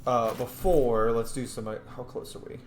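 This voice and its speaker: A man plays through a roleplaying game, commenting casually and voicing his choices aloud. His voice is mid-pitched. The room is quite echoey, with a faint noise behind him. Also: steady tone, normal speed. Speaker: gamer doing a roleplay playthrough.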